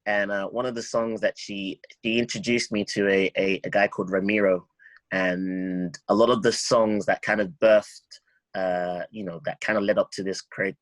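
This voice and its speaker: A man speaking as a songwriter guest in an interview, reflective and halting, often repeating words as he thinks. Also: low-pitched, no room sound, poor audio.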